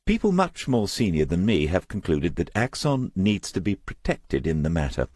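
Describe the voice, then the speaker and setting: A man's voice: low-pitched, sing-song, moderate pace, a little mellow, breathy edge, no echo, studio quality, voice pushed up, full character voice. A man voicing a young corporate insurance woman in an audiobook, with a polite, formal, matter-of-fact manner.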